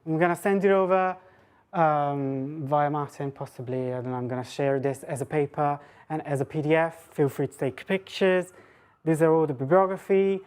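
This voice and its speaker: A woman's voice: low-pitched, normal speed, darkish tone, smooth. A woman giving an academic talk, rambling and self-deprecating as she thinks aloud. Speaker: lecturer and speaker. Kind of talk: academic talk with audience questions.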